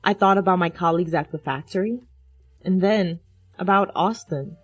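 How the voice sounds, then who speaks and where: A woman's voice: on the low side, normal speed, slightly rough, no echo, soft background music. Woman narrating a dramatic story, dry sound. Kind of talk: scripted first-person dramatic narration.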